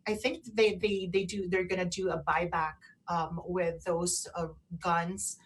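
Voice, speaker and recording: A woman co-hosting a radio show in casual chat, halting and often repeating herself. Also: fairly low pitch, flat delivery, low-quality recording.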